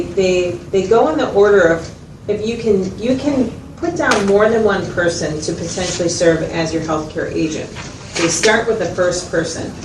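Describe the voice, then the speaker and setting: A woman's voice: mid-range voice, close to an everyday voice. A woman playing a patient, methodical mental health clinician in a role-played consultation, explaining and checking understanding with questions. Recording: echoey, distant mic, noisy room.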